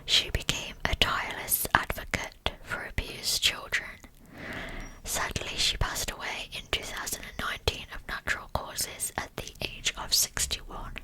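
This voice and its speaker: A woman speaks entirely in a breathy whisper, with no voiced tone. She reads a scripted true-crime narration in an ASMR style, softly and deliberately, taking her time over each phrase. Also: low-quality recording.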